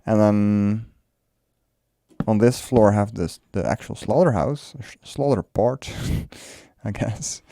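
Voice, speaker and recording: Mellow Dutch-native man musing. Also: on the low side, takes it slowly, vocal fry, no room sound, clean studio sound. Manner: relaxed, musing, laughs often. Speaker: let's play creator. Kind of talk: casual building commentary.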